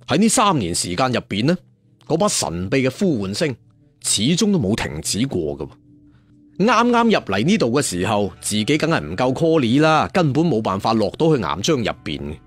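A male storyteller speaks with a Hong Kong Cantonese accent and a husky voice. His pitch swings in a lively way as he narrates dramatically, with chatty asides to the listener. Music plays faintly behind him. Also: moderate pace. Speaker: audiobook storyteller.

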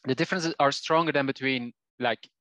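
A man's voice: mid-range voice, brisk, bright, forceful tone, no room sound. A Belgian man in his forties whose first language is Flemish Dutch, a language teacher speaking as a guest on a video call, often restarting and repeating words. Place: small home room with shelves. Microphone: headset on video call.

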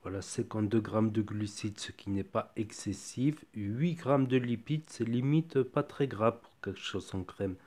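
A man with a cold reviewing a ready meal at home in a relaxed, chatty way, thinking aloud as he goes. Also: lowish voice, measured pace, hardly any pauses. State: has a cold. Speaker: food reviewer. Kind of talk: casual product tasting review. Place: home kitchen table.

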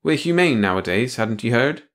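A man's voice: medium pitch, expressive, moderate pace, lightly acted. A man voicing a weary, cynical male official who speaks with dry bitterness. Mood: bitter.

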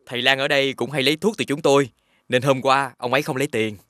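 A man voicing a playful, teasing younger brother who sells medicine, in an animated fairy tale. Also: mid-range voice, quick pace, dry recording, clean studio sound, lightly acted. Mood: cheerful. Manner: jolly, teasing.

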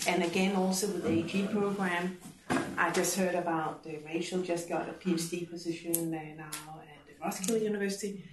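A middle-aged woman who works at a university, commenting in a seminar discussion. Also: on the low side. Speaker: university staff member in discussion. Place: classroom, indoors. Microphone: distant room microphone. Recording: distant, echoey room with clear noise.

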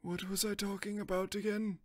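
An actor playing a droning, absent-minded history teacher in an audio drama. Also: male voice, sits high, little pitch movement, fairly quick, darkish tone, no echo, clean studio sound, natural voice. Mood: weary, droning. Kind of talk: audio drama classroom lecture.

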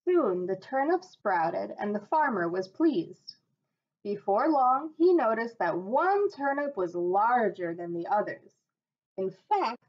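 A woman in her thirties, a teacher, reading a picture book aloud on a webcam at home in a slow, expressive voice. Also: a little high, breathy edge. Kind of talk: storybook read-aloud on webcam. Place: small room at home. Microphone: webcam at desk. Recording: dull lo-fi webcam audio.

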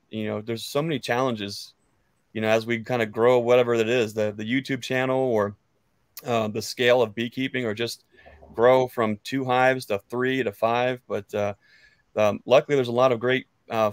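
A man's voice: mid-range voice, flat delivery, moderate pace, smooth, no echo. An American man in his thirties, chatting casually in a friendly, meandering way with hesitations, close to the microphone. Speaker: beekeeper, guest on a live chat. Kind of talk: casual chat. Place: book-lined room at home. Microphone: close studio mic on an arm.

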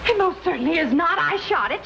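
An actress playing a haughty, hot-tempered young landowner in a 1950s western, curt and imperious. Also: female voice, very high-pitched, animated, brisk, vocal fry, full character voice. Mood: indignant. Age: young adult. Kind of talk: 1950s television western acting. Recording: dull old film soundtrack, faint noise.

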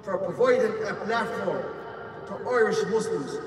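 A man in his twenties, from Iraq, giving an earnest prepared speech at a podium on an outdoor stadium pitch. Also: animated, normal speed, background noise. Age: 20s. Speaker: speaker at a public event. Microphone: podium mic over the stadium PA, heard from the stands. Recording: echoey stadium PA from far off.

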